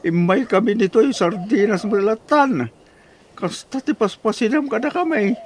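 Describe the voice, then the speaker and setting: A man's voice: high-pitched, lively intonation, moderate pace. A man with a Filipino accent playing a downtrodden old father-in-law who grumbles plaintively in a radio drama. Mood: plaintive, grumbling.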